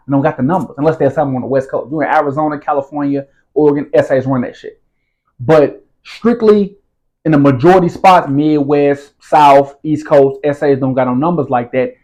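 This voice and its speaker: Streetwise man with a raised pitch. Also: darkish tone, rough recording. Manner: streetwise slang, repeats "you feel what I'm saying". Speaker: interview guest. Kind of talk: casual storytelling interview.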